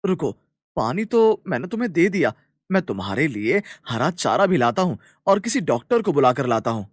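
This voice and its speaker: Man acting an animated, earnest young farmer. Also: on the high side, moderate pace, dry recording, studio quality. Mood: concerned. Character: earnest young village farmers, kind, friendly, chatty. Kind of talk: Hindi cartoon story dialogue.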